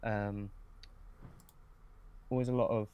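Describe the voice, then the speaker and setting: A young man reminisces as an interview guest in a lowish, very even voice. He is low-key and hesitant, often stopping mid-sentence to find his words. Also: takes it slowly, clear, resonant tone, lo-fi sound. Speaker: podcast guest, script writer.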